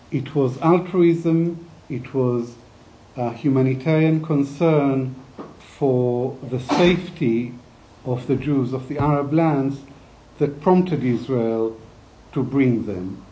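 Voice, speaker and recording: An older man giving a reflective academic talk, speaking in a measured, deliberate way with hesitant pauses. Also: medium pitch, mellow, low-quality recording.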